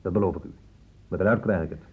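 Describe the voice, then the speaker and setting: An actor playing a cynical police inspector in a radio drama, blunt, sardonic and colloquial. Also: male voice, low voice, fast talker, breathy edge. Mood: blunt, stern. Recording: muffled phone-like old radio recording.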